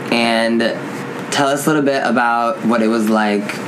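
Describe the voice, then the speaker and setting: A man's voice: mid-range voice, steady tone, normal speed. A man hosting a casual interview in a campy, slangy manner, with some swearing. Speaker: talk show host. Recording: clearly noisy room ambience.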